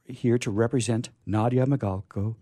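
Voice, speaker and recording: A man's voice: mid-range voice, steady tone, normal speed, warm tone, no room sound, clean studio sound. A man hosting a radio show off the cuff, effusive, jokey and rambling. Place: radio studio.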